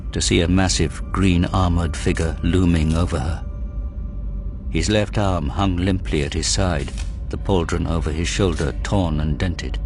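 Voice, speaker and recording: A man narrating a dramatic audio drama in a measured, grave storytelling manner. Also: sits low.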